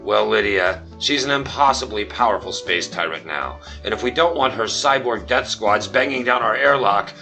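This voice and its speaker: A man's voice: on the low side, moderate pace, few pauses, sharp tone, soft background music. A man playing a self-important, dim starship captain, theatrical and blustering, in a comic sci-fi radio serial.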